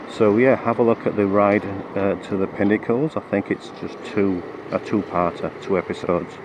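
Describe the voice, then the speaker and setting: A man riding a motorcycle with a cold-roughened, croaky voice, chatting through the route with lots of ums and restarts. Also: deep voice, lo-fi sound. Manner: hesitant, many fillers and restarts. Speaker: motorcycle vlogger. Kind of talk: rambling ride commentary. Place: on a motorcycle on the road. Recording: road and engine noise behind.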